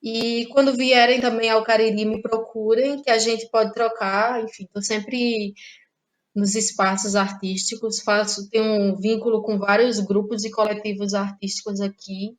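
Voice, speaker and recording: Relaxed woman. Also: fairly high pitch. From Ceará, Brazil. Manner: relaxed, laughs often, trailing pauses. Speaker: guest playwright. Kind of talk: casual interview chat.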